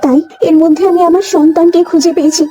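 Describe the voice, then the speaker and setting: A woman's voice: extremely high pitch, flat delivery, fast talker, dark tone. A woman playing a motherly old cow in a cartoon, tender and self-sacrificing, with a pleading, endearing way of talking. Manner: pleading, endearing address to her child. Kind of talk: cartoon voice acting. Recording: music behind the voice.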